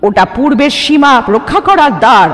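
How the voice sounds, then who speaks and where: Horror cartoon aunt, played by a man: stern old villager, very high, swooping delivery, ominous. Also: lively intonation, a little fast, a bit bright. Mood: ominous warning. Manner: ominous, forbidding. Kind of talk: animated horror cartoon acting. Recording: long hall reverb over music.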